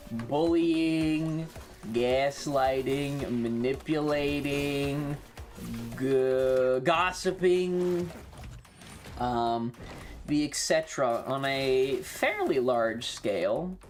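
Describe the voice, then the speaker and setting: Female game streamer, very deep voice, unhurried delivery, casual and sweary chat over music. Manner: sweary, offhand and conversational. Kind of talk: casual livestream chat while gaming.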